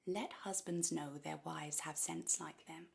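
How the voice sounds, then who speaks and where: A wronged wife speaks classical verse with quiet bitterness in an actress's performance. Her creaky, mellow voice is slow, deliberate and defiant. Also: low-pitched, fairly even intonation, heavily acted. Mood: bitter, measured. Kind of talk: classical Shakespearean monologue acting.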